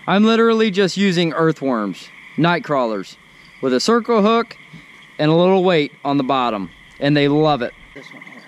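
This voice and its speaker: A fairly high-voiced man chats excitedly while reeling in fish outdoors at night. He is recorded on an action camera that sometimes sits a few steps away, with faint crickets and frogs behind him. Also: moderate pace, a little hiss or hum. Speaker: fishing vlogger. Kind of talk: casual outdoor commentary. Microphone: action camera, sometimes far.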